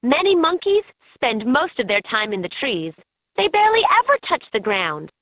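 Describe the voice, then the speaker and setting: A woman reading scripted educational narration for a children's game in an expressive, instructive way. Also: high voice, moderate pace, no room sound. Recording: slightly dull, lo-fi game audio.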